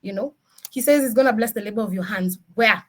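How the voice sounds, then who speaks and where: A woman with a Kenyan accent speaks at an average pitch in an informal, motivational style. She slips easily between two languages and drives her points home with emphatic rhetorical questions. Her manner is animated and encouraging, that of an experienced engineer mentoring newcomers. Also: quick pace, slight background noise. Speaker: senior DevOps engineer, guest speaker. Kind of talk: informal motivational career talk, code-switching into Swahili.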